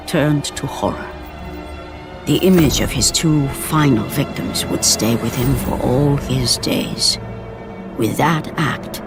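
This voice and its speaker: An actress playing a grave, portentous storyteller, giving scripted dramatic narration for a video game. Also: female voice, sits low. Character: grave, solemn omniscient storyteller of Greek tragedy.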